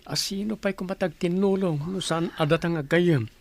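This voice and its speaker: Helpful Ilocano village buddy male actor, moderately high male voice, everyday radio-drama delivery. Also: normal speed, vocal fry. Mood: caring. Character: helpful, brotherly village man.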